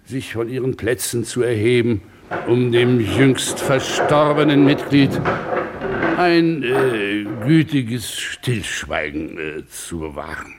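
An actor playing a pompous, blustering older club president and stern father in a 1950s German radio play, with an oratorical, gruff manner. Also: mid-range voice, slow pace, very noisy, lightly acted. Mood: pompous, solemn.

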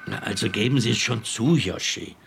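A man's voice: lowish voice, fairly quick, gravelly, a bit bright, slight background noise. An actor playing a stern, sarcastic male police chief in a radio crime drama.

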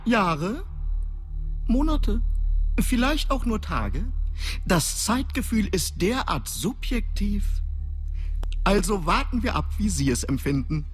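A woman playing a teasing, formal, otherworldly guide in a German science fiction radio drama, with a husky voice. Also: medium pitch, sing-song, takes it slowly, pauses often, faint music behind. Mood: teasing, matter-of-fact.

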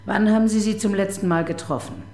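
An actress playing a sharp, sardonic homicide detective in a radio crime drama, brisk, dry and probing. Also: female voice, medium pitch, creaky, lightly acted. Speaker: detective chief inspector.

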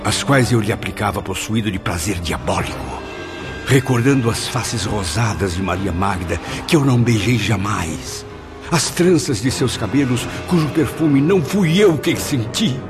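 A man's voice: lowish voice, moderate pace, music in the background, natural voice. An actor playing a cruel, obsessed Spanish nobleman in a radio drama, theatrical, menacing and impassioned. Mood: bitter confession.

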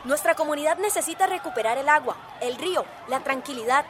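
A woman's voice: high voice, expressive, a little fast. A woman playing a defiant, passionate village protest leader in a radio drama, speaking in an emphatic, declamatory way amid a noisy crowd. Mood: indignant, earnest. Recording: noisy street crowd behind.

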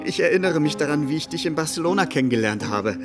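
An actor playing a genial, nostalgic middle-aged comrade in a radio drama, reminiscing with feeling. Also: male voice, a little high, expressive, quick pace, over music, close to an everyday voice.

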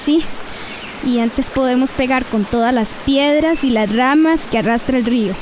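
Woman playing an anxious, higher-voiced stranded flood survivor. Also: moderate pace, darkish tone, a little hiss or hum, close to an everyday voice. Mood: anxious, impatient. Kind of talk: radio drama dialogue.

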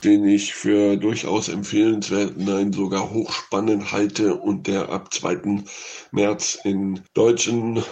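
A man with a fairly low, very creaky voice talks through film picks as a radio critic. He speaks off the cuff, feeling his way through each thought. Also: darkish tone, rough recording. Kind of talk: unscripted radio film review.

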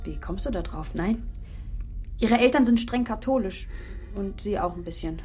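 An actress playing a young music student, an earnest, loyal and defiant daughter, in a naturalistic radio crime drama. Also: female voice, mid-range voice, expressive, moderate pace, darkish tone, natural voice. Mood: uneasy, persuading. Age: young adult.

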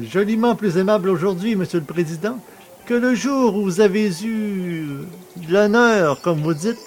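An actor playing a cheeky, boastful poacher fresh from prison in an audio drama, familiar, mocking and self-pitying. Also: male voice, sits high. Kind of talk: French audio drama acting.

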